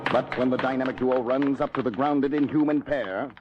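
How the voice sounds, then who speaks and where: An actor playing a dramatic narrator in a 1970s superhero audio drama, theatrical and urgent. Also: male voice, medium pitch, fairly even intonation, moderate pace, crowd noise, close to an everyday voice. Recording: dull 1970s record with music.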